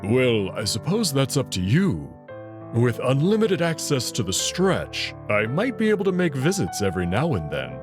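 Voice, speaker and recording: A man voicing a confident young male wolf heir who talks in a smooth, reassuring way. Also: mid-range voice, wildly swooping pitch, normal speed. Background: background music.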